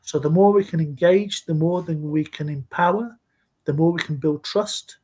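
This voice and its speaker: A man in his sixties from Scotland, speaking in a measured, earnest way as a guest in a live video-call interview. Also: on the high side, dark tone. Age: 60s. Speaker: interview guest, safety leader.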